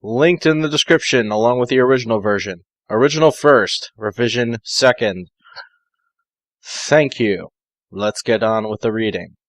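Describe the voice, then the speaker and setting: A man's voice: mid-range voice, normal speed, no echo. A man reading amateur fan fiction aloud, breaking in with dry, sarcastic asides.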